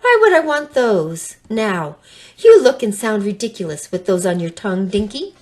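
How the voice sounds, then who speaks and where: A woman's voice: mid-range voice, big pitch swings, normal speed, a little hiss or hum, lightly acted. A woman voicing a curious, stubborn and haughty woman in a children's fable, explaining and dismissing. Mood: dismissive.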